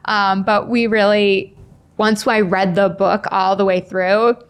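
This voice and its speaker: A woman's voice: medium pitch, takes it slowly, smooth. A woman in her forties, a guest author on a podcast, casually telling personal stories and acting out the dialogue. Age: 40s. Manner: animated, acts out quoted dialogue. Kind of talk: casual anecdotal storytelling. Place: indoors, in-person podcast recording.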